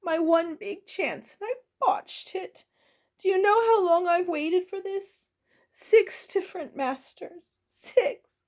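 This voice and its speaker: A distraught female goblin cook from a storybook, acted out by a woman, wailing in a very high voice. She is meek yet dramatic, and her pitch leaps about as she draws out her words. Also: very animated, slow, full character voice. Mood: tearful, wailing. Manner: wailing, overwrought.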